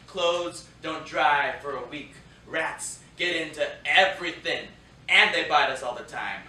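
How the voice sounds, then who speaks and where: A man playing an earnest, wry young American soldier in a theatrical reading, deliberate and expressive. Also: a little high. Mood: weary, wry. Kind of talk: theatrical reading of letters and verse. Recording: roomy, distant theatre recording, faint noise.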